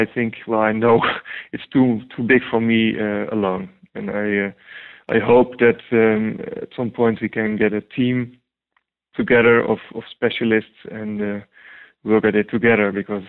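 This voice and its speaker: A man from the Netherlands with a Dutch accent, answering interview questions hesitantly with many ums and restarts. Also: lowish voice. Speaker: guest translator. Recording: tinny phone-like line.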